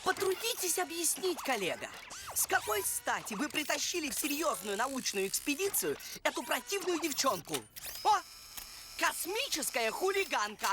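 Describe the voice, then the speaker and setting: A woman voicing a pompous, easily offended alien professor, vain and lecturing, in an animated film. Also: high-pitched, moderate pace. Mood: indignant. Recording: music behind the voice.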